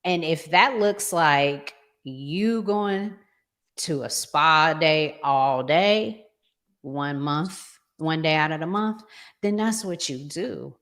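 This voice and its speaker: A woman's voice: sits low, slow, fairly bright tone. A woman hosting a talk show, giving conversational relationship advice emphatically and repeating phrases to drive them home.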